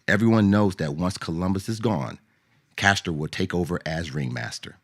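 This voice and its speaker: Low-voiced man narrating radio drama. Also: normal speed, fairly bright tone. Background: music.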